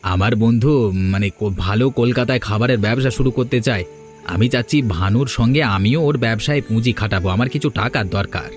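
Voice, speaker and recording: A man's voice: lowish voice, a little fast, faint music behind, close to an everyday voice. A man playing an earnest, downcast young wastrel brother who pleads for redemption in a moral story cartoon. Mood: hesitant. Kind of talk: dramatic cartoon dialogue.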